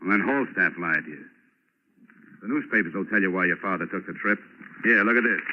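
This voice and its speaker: An actor playing a tough, wry insurance investigator who narrates in clipped, hard-boiled lines for a 1940s radio drama. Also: male voice, deep voice, a little fast, slight background noise, natural voice. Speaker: narrator and lead. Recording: muffled old radio broadcast.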